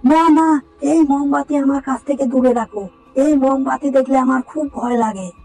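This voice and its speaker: A man voicing a frightened village mother in an animated fairy tale, pleading in panic. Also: very high voice, normal speed, pitched above the performer's own voice, rough recording.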